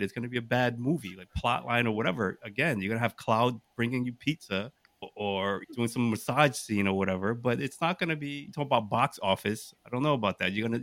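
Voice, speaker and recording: A man's voice: medium pitch, a bit bright, dry recording. A man co-hosting a podcast in casual, joking debate, with an insistent, teasing manner. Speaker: podcast host.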